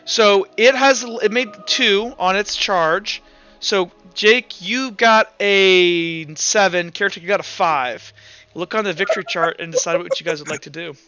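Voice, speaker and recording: A man's voice: high-pitched, a little slow, pushed and bright, muffled recording, faint music behind. A man acting as game master of a tabletop roleplaying session, casually thinking aloud as he counts out results and narrates. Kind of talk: running a tabletop roleplaying game, improvised rules talk and narration.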